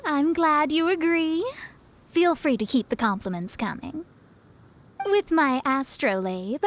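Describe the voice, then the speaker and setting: A woman voicing a proud, self-assured young astrologer in a video game, speaking articulately and a little haughtily. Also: sits high. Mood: smug. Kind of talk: video game character acting.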